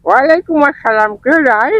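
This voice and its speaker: A man playing an excitable old village farmer, spluttering and repeating his words in a comic prank-call sketch. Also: very high voice, a little fast, no echo, lo-fi sound. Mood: friendly, curious. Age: older.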